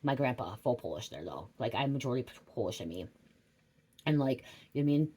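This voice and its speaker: A woman's voice: rumbling low, expressive, fast talker, mellow, slightly rough. A middle-aged woman giving a rambling psychic reading, drifting off track, swearing freely and asking "okay?" over and over. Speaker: psychic tarot reader. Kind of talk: rambling casual livestream reading.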